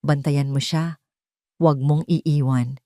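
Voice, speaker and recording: A woman's voice: low-pitched, steady tone, takes it slowly, mellow, no room sound, studio quality, pitched below the performer's own voice. A woman voicing a cold, authoritative man, a doctor giving terse orders in an audio story. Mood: cold, commanding. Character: cold, commanding male doctor protecting his children.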